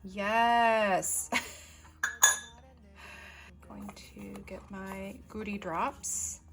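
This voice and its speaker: Woman, casual vlogger, chatty and unhurried, frequent ums, mid-pitched voice, faint music underneath. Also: steady tone, gravelly. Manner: chatty, unhurried, lots of ums, asides while working. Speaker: stationery vlogger. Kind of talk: casual show-and-tell narration.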